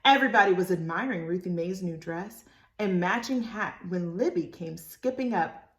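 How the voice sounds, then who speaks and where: A woman reads a children's storybook aloud expressively, stopping for animated asides to her young listeners. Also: on the low side, normal speed, echoey room. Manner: animated, addresses listeners as friends.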